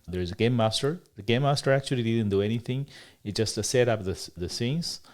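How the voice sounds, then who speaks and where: A man whose first language is Chinese, walking through a software demo in a tutorial, explaining step by step with frequent pauses and self-corrections. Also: mid-range voice. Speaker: developer giving a product demo. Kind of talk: screen-recorded tutorial walkthrough.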